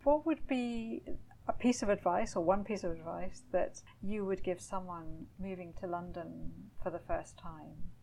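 A woman's voice: lowish voice, measured pace, gravelly, slight background noise, rough recording. A woman interviewing a guest, asking open questions and encouraging her with frequent short replies. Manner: warm, encouraging listener with frequent backchannels. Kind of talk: conversational interview.

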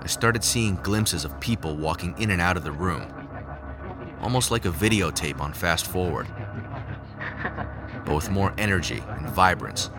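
A man playing a haunted lab scientist, narrating a scripted audio drama in a measured, brooding way. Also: low voice, animated. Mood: awed, absorbed. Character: haunted male lab scientist recounting secret experiments. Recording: music under the voice. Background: music.

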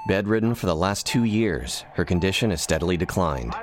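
A man's voice: low voice, lively intonation, brisk. A man playing a wry, tongue-in-cheek narrator of scripted sci-fi comedy, with theatrical flair and conversational asides. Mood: wry storytelling. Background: music.

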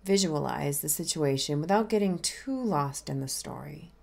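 A woman minister leading a guided meditation in a gentle, unhurried, inviting way. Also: sits low, expressive, gravelly.

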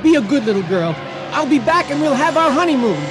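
Mild fond bridegroom, very high pitch, polite, comic radio play, noisy backdrop. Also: male voice, big pitch swings, brisk. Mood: fond, reassuring. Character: mild, bashful bridegroom, fondly patronizing. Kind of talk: comic radio drama acting.

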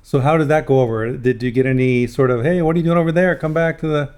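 A man's voice: mid-range voice, fast talker, darkish tone, smooth. A man hosting a podcast who asks his guest questions in an upbeat, encouraging way. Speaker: podcast host. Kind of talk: podcast interview.

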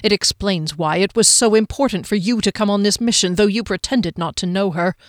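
A woman voicing a stern, cold young male healer in an audiobook, speaking in a clipped, forceful, pressing way. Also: medium pitch, normal speed, no room sound, studio quality, natural voice. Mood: accusing. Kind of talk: audiobook character voice.

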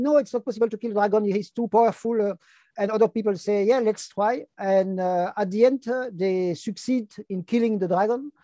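A man in his fifties from Brussels, speaking English with a French-speaking Belgian accent, talking as an interview guest, pausing often and filling gaps with hesitations. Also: high voice, warm tone, no echo. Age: 50s. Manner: halting, frequent hesitation fillers. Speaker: guest, longevity activist. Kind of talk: casual interview talk.

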